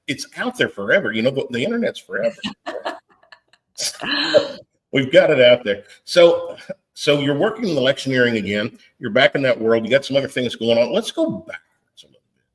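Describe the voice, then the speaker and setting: A man's voice: medium pitch, big pitch swings, moderate pace, long pauses. A man hosting a podcast interview, friendly and chatty, encouraging his guest. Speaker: podcast host.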